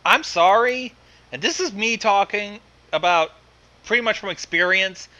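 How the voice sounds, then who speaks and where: A woman's voice: medium pitch, measured pace, pushed and bright, highs cut off. A woman reacting to a story reading with exasperated, sardonic commentary.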